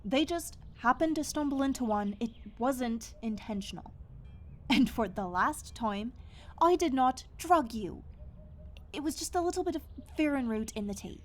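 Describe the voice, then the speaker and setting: Woman acting a guarded, higher-voiced fantasy loner. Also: expressive, normal speed. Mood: defensive. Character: guarded, stubborn fantasy woman living alone in the wilds. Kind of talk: fantasy audio roleplay acting.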